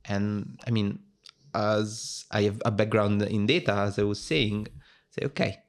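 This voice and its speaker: A man's voice: lowish voice, fairly even intonation, a little slow. A man in his twenties from Italy, speaking with an Italian accent as a guest in a casual interview, thoughtful and full of fillers and restarts. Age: 20s.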